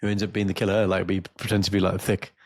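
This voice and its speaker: A man with a gravelly, fry-edged voice chats loosely on a podcast. He tells stories animatedly and jokes around. The sound is dry and close, with no room around it. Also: sits low, racing, no echo. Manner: animated, jokey storyteller. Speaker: podcast co-host. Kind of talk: casual podcast chat.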